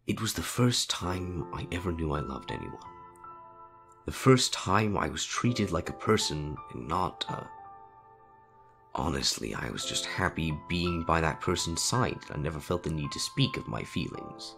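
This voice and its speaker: A man acts out a calm, wise older wizard headmaster in an audio story. The voice is very deep and creaky, speaking in measured, sober phrases that rise and fall expressively. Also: music in the background, full character voice. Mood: wistful. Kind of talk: dramatic fan fiction audio reading.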